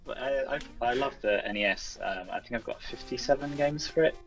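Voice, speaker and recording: A man's voice: mid-range voice, fairly quick. A man chatting casually as an interview guest, a game developer who talks in a hesitant, self-effacing, rambling way. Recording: distant mic with music behind. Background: music.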